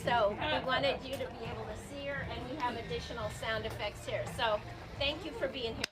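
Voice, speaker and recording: A woman's voice: on the high side, brisk, over loud music. A woman giving an informal welcome speech from the stage as host of a live theater show. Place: live before an audience at a theater. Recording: clearly noisy live stage recording.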